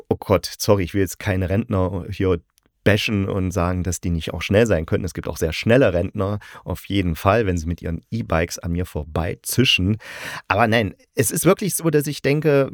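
A man's voice: on the low side, no echo, clean studio sound. A man giving an informal, opinionated solo review as a podcast host, speaking conversationally and directly to his listeners.

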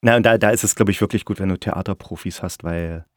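Male interview host, lower register, very dry recording. Conversational, halting, rephrases as he goes. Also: no room sound. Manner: halting, often breaks off and rephrases mid-sentence. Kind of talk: casual interview chat.

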